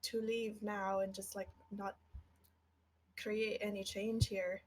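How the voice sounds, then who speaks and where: A woman's voice: mid-range voice, level tone, takes it slowly, a little mellow, breathy edge, low-quality recording. A woman voicing a serious male druid who speaks earnestly about his sworn duty. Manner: earnest, thoughtful.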